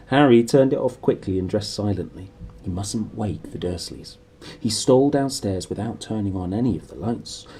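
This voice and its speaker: A man's voice: mid-range voice, dark tone, slightly rough. A man narrating a storybook aloud in a dramatic, playful way. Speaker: book narrator. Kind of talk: animated storybook read-aloud.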